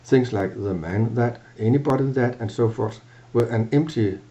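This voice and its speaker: An older man with a Danish accent explaining grammar in a halting, self-correcting way, filmed at a webcam in a small office. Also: mid-range voice, warm tone, muffled recording. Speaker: language teacher. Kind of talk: lecture to camera.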